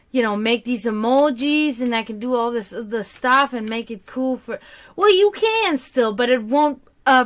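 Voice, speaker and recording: Chatty woman streamer, laughs a lot. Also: fairly high pitch. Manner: rambling, warm-hearted, laughs often. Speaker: streamer giving tarot readings. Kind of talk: casual livestream chat.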